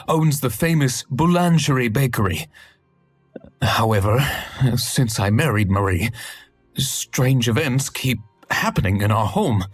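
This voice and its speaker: An anxious young husband pleads earnestly for help, his mid-range voice a little husky. He sounds worried and sincere. Soft music sits behind him. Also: medium pitch, dry recording. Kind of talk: hidden-object game cutscene.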